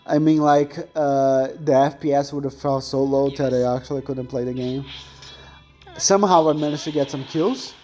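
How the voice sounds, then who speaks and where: A man's voice: mid-range voice, fairly even intonation, moderate pace, dark tone, faint music behind. A young man with a thin voice chatting casually and rambling while commentating on his gameplay, often correcting himself mid-sentence.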